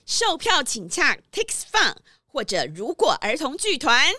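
A woman's voice: high-pitched, very animated, measured pace, bright, forceful tone. A woman narrating a scripted children's detective story.

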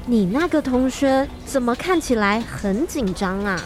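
A woman's voice: on the high side, animated, unhurried, music in the background. An actress playing a curious, caring mother at a night market stall in a children's radio drama.

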